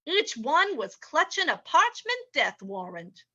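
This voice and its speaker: Small-town sheriff played by a woman: high, bright, halting, baffled, slightly dull recording. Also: big pitch swings, full character voice. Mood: baffled, grave. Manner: hesitant, halting. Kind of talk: old-time radio drama reading. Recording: dull lo-fi sound.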